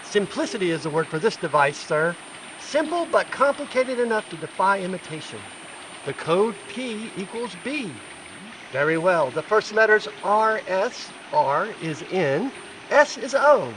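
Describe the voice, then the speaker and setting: An actor playing an eager, precise helper with formal, fussy phrasing in a 1940s-style radio serial. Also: male voice, sits high, big pitch swings, measured pace, a bit bright, recorded from a distance, slight background noise, exaggerated voice. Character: eager, precise male helper who decodes secret messages.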